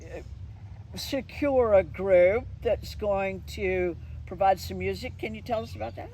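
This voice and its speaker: A woman's voice: mid-range voice, a little slow, mellow, a little hiss or hum, rough recording. A woman hosting a conversational interview, explaining things in a slightly hesitant, roundabout way. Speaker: interviewer and event organizer.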